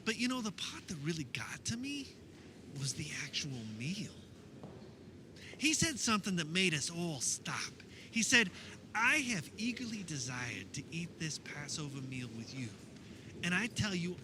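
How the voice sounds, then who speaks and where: Man playing an earnest, high-pitched, animated disciple storyteller. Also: background noise. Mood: reflective, wondering. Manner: conversational, wistful storytelling with wondering pauses. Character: earnest fisherman disciple of Jesus, fondly recounting memories. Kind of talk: dramatic first-person monologue in religious radio theater.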